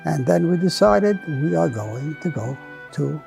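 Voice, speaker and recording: A man's voice: on the high side, animated, measured pace, gravelly, a little mellow, soft background music. An older man, born in Hungary with Hungarian as his first language, telling his story in a recorded interview. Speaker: survivor interviewee.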